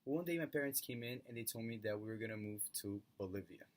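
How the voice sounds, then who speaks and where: Young man, Brazilian accent, low voice, earnest student narrating a personal story. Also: quick pace, slight background noise. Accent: Brazilian Portuguese. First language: Portuguese.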